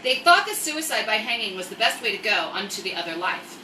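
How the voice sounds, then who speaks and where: Woman, American accent, very bright forceful voice, chatty livestream host, rambling jokey asides, echoey room, faint noise. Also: medium pitch, very animated, a little fast. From United States. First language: English. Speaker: host of a live story-time stream. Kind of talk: casual chatty livestream with reading aloud. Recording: roomy echo, distant mic, faint noise.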